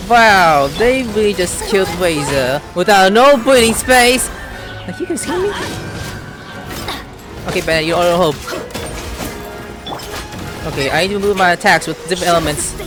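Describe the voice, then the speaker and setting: A woman's voice: medium pitch, sing-song, moderate pace, long pauses. A woman giving casual let's-play commentary, reacting aloud and talking to the game characters. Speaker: gameplay streamer. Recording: game music behind the voice.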